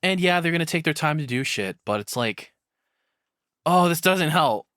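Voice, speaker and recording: A man's voice: fairly high pitch, lively intonation, a bit bright, dry recording. Casual, rambling male streamer, dry sound. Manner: halting, rambling, sweary. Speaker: reaction streamer. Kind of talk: casual reaction commentary.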